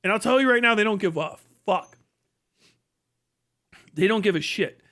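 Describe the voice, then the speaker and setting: A man's voice: high voice, animated, normal speed, pushed and bright. A man giving casual livestream commentary in a blunt, profane, rambling way. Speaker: livestream host.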